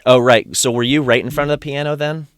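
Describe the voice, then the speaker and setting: A man's voice: mid-range voice, steady tone, quick pace, clear, resonant tone, no echo, studio quality. A man hosting a podcast, chatting casually and enthusiastically with many restarts, his voice a bit strained from singing. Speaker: podcast host. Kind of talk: casual conversational recap.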